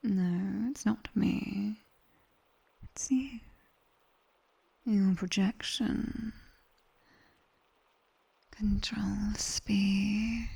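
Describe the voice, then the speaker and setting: A woman plays a female nightmare demon tutor with a British accent. She whispers in a very breathy, softly dark tone, extremely unhurried. Her ASMR instruction is coaxing and dryly teasing, delivered close to the mic. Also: mid-range voice, exaggerated voice. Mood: coaxing, firm. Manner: slow, dry, teasing instruction. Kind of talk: ASMR roleplay, guided body scan. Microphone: close binaural microphone.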